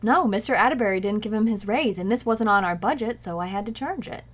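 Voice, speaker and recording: A woman's voice: medium pitch, fast, close to an everyday voice. A woman playing a cheerful, scatterbrained young housewife in 1940s-style radio comedy, with a breezy, innocently matter-of-fact manner.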